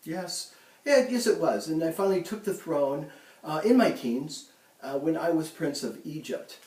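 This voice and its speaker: A man playing a proud ancient Egyptian pharaoh in a mock interview, speaking haltingly with many ums and restarts, recorded in an echoey room from a distance. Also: on the high side, expressive, a little mellow, natural voice. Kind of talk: amateur school-style role-play interview.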